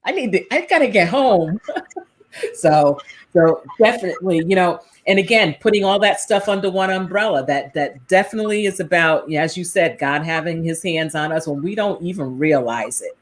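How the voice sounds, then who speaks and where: A woman's voice: fairly low pitch, lively intonation, normal speed. A woman host with a New England accent chatting on a livestream and greeting viewers. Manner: warm chatty host reading viewer comments. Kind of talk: casual livestream talk show.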